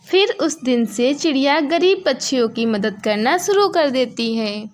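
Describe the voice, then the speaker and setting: A woman's voice: high-pitched, lively intonation, unhurried. A woman narrating a scripted moral story for children.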